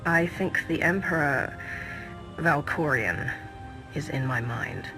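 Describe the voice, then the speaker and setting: An actor playing a composed, confident Sith sorcerer in a video game, with a measured, assured manner. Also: male voice, on the high side, animated, music in the background. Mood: grave, troubled. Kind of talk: video game cutscene acting.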